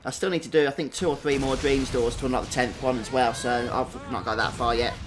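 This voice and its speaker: A man's voice: medium pitch, fairly even intonation, fairly quick, noisy background. A man giving chatty live commentary on a video game, thinking aloud and often tagging questions onto his remarks. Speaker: game streamer. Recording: game music behind the voice. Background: video game music.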